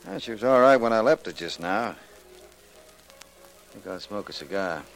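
A man's voice: lowish voice, lively intonation, measured pace, gravelly, low-quality recording. A man playing an offhand gangster, speaking in casual clipped mutters in 1940s radio acting. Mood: offhand, relaxed. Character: offhand gangster out for an evening smoke. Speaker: actor in a radio drama. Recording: old radio recording with faint music.

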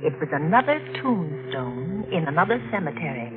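Anxious radio heroine, highly expressive. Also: female voice, moderate pace. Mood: suspenseful, haunted. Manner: dramatic, clipped, anxious. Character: tense, haughty married woman, easily frightened. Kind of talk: 1940s radio drama acting and first-person narration. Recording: noisy, muffled 1940s radio recording.

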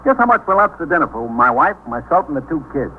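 A brisk desk lieutenant talks colloquially with an American accent. His pitch swings in a lively way and he moves along quickly. It is fifties radio police drama, narrow and boxy in sound. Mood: chatty. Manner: colloquial, animated. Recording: muffled, noisy 1950s radio recording.

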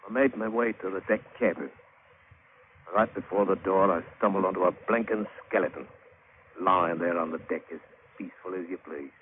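Actor as a low-voiced British sailor spinning a yarn. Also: male voice, normal speed, natural voice. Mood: hushed storytelling. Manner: colloquial old-salt storyteller with rustic grammar. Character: plain-spoken working-class sailor-diver telling his grim adventure. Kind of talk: 1940s-50s radio drama acting and narration. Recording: muffled, narrow-band, hissy old radio broadcast.

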